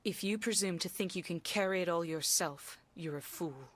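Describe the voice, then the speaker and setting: An actress playing a stern, haughty fortune teller in a video game, speaking in lofty, scolding, formal phrases. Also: female voice, fairly low pitch, moderate pace, a bit bright, dry recording. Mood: stern, scornful. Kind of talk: dramatic video game cutscene acting.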